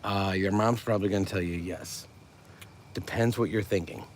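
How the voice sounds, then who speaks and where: A man's voice: low-pitched, moderate pace, slight background noise. A middle-aged man hosting a casual livestream from a car, reading out viewer comments in a chatty, upbeat way and laughing easily. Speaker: livestream host.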